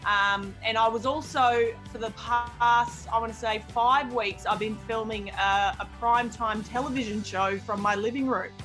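A woman's voice: fairly high pitch, steady tone, normal speed, few pauses, a bit bright, faint music behind. A young woman who hosts a radio show, chatting casually in an interview with jokes and plenty of fillers. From Australia. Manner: jokey, self-deprecating, rambling with fillers. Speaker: radio host guest.

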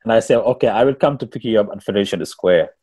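A man from Senegal with a Senegalese accent, whose first language is Wolof, telling stories as a musician guest in a casual interview, halting and repeating words. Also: on the low side, expressive, dark tone, breathy edge.

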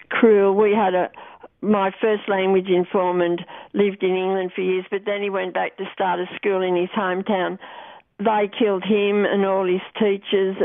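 An older Australian woman recounting her memories as an interview guest, speaking earnestly and haltingly, with repeated words. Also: mid-range voice, vocal fry, no room sound. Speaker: interview guest, former missionary. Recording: thin phone-like line.

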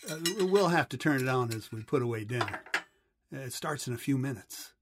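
A man playing a frank, gently probing middle-aged father in a 1930s family audio drama. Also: medium pitch, expressive, a little fast, lightly acted. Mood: matter-of-fact. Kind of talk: scripted audio drama dialogue. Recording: noisy, with clinking dishes.

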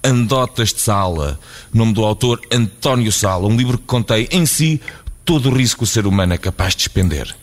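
A man's voice: on the low side, lively intonation, fairly quick, lightly acted. A man playing a pompous, aggrieved internet troll turned inquisitor in a comedy radio play, accusatory and mock-erudite, with a European Portuguese accent. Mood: menacing, pompous.

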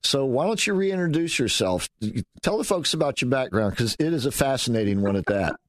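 A man's voice: mid-range voice, animated, brisk, no echo, studio quality. A man hosting a radio talk show, welcoming a guest in an easygoing, affable way.

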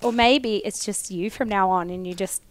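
Husky-voiced Australian woman with a chest infection. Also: medium pitch, moderate pace. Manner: jokey, playful teasing. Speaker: podcast co-host. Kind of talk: casual chat.

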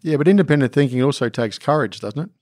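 A man hosting a podcast, reading a scripted introduction and asking interview questions in a measured way. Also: mid-range voice, expressive, darkish tone, no room sound, clean studio sound. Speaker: podcast host.

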